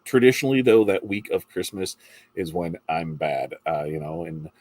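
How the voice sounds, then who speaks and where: A congested middle-aged man with a low, deep voice chats casually through a morning stream, rambling between viewer shout-outs and questions. His tone is husky, and the sound is dry and close. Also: animated, normal speed, no room sound. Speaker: livestream host reading viewer comments. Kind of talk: casual morning livestream chat.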